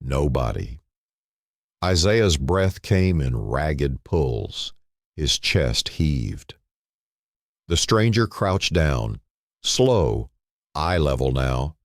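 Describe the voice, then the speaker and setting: A man narrating a scripted dramatic story in a solemn manner, in short phrases with pauses. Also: extremely low pitch, very animated, no echo, studio quality.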